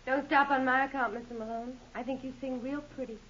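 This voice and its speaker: An actress playing a flirtatious, cool and sassy married client with coy, unflappable quips in a 1950s radio detective drama. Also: female voice, high voice, fairly even intonation, fairly quick, fairly bright tone, breathy edge. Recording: dull old-time radio recording.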